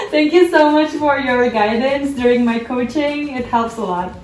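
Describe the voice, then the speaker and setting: A woman's voice: high voice, slow, soft background music. A young woman with an Indonesian accent speaking English politely and carefully on a video call. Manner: polite and careful, with some hesitant fillers. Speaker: graduate student speaker. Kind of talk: video call and formal speech. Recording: distant, echoey video call audio.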